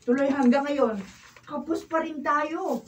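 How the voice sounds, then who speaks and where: An actress playing a weary, nagging but principled wife in a Filipino radio drama, with a Filipino accent. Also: female voice, high voice, fairly even intonation, a little slow. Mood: annoyed. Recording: dull, distant sound, faint noise.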